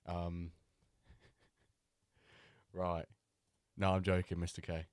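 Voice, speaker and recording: A man hosting a live stream, chatting loosely with many pauses and fillers, still a little nasal from a cold. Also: low voice, monotone, smooth, dry recording, clean studio sound. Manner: chatty, rambling, with many ums and restarts. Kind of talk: casual live-stream chat.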